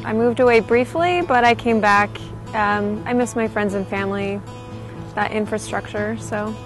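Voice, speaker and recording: A woman's voice: medium pitch, moderate pace, faint music behind. A woman from Utah with an American accent, chatting casually in an interview. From Utah, USA.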